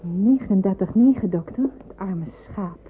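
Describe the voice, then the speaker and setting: An actress playing a practical, compassionate nurse in a wartime radio drama. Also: female voice, medium pitch, a little slow, lightly acted. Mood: practical, caring. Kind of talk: Dutch radio drama acting. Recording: tinny old radio recording with clear noise.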